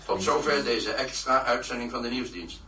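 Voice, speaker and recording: A man's voice: mid-range voice, normal speed, crowd noise. An actor playing a composed male newsreader who formally reads a disaster bulletin, heard through a radio set. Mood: composed, official. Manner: formal, factual. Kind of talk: acted radio news bulletin. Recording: distant, echoey radio with faint noise.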